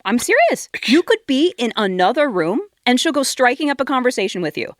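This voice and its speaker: A woman plays a blunt, fed-up girlfriend with a sarcastic edge in a radio comedy. Her voice is high and very animated, captured with a dry, close sound. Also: brisk, no echo. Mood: exasperated. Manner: sarcastic, exasperated. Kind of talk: comedy radio sketch acting.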